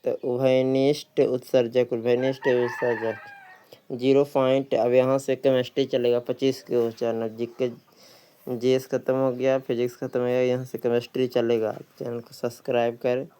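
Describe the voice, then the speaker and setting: Clear-voiced male exam tutor, even tone. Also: mid-range voice, normal speed. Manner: repeats questions and answers. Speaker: exam coaching teacher. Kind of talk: practice question walkthrough, reading questions then giving answers.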